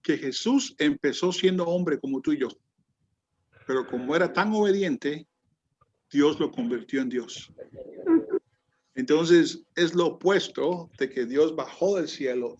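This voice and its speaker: A man's voice: a little high, a little slow, a little hiss or hum. A man teaching a Bible class over a video call, chatty, restarting his sentences a lot. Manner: chatty, many restarts and fillers. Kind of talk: online class, lecturing and calling on students. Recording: muffled lo-fi video call audio.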